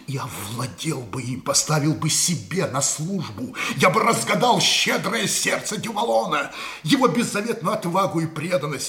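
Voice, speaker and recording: An old musketeer captain in a radio drama, indignant and defiant before his king. His voice is bright and forceful and rings through an echoey studio. He declaims with bitter passion and very animated pitch. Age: older.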